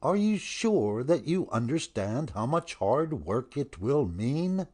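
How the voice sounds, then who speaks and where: A man playing a grave, concerned father with a husky voice and measured manner in a dramatic audiobook. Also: mid-range voice, sing-song, darkish tone, exaggerated voice.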